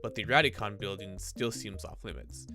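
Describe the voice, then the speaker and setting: A man's voice: lowish voice, sing-song, normal speed, vocal fry. A man streaming a visual novel, reading it aloud in a casual, conversational way. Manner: casual, conversational asides between read passages. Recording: prominent game music behind the voice. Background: visual novel game music.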